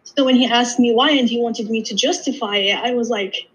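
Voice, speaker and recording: A woman's voice: fairly high pitch, a little fast, slightly rough, highs cut off. A young woman in her twenties, from Iraq and Jordan, a podiatry student chatting as an interview guest, often restarting and quoting herself. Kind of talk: casual interview chat.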